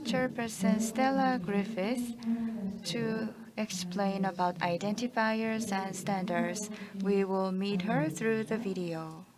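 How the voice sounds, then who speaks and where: A woman emceeing a conference, introducing the next speaker in a slow, formal way. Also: medium pitch.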